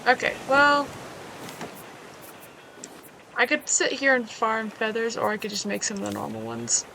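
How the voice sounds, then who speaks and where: A woman with a slightly hoarse voice giving casual, chatty commentary while she plays a survival game, making wry asides to herself. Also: a little high, huge pitch swings, unhurried, bright, forceful tone, slight background noise. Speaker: gaming video creator.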